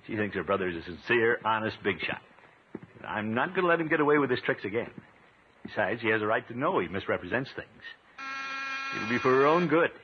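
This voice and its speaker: A man's voice: lowish voice, very animated, brisk, slightly rough. An actor playing a pompous, flustered, easily exasperated middle-aged man with blustery exclamations in a 1950s radio comedy. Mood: grumbling, brooding. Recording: tinny, noisy old radio recording.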